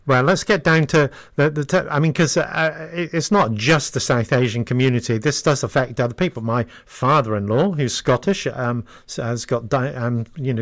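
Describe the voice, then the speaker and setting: A British man chatting casually as a radio interviewer, halting and repeating words as he goes. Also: mid-range voice, a bit bright.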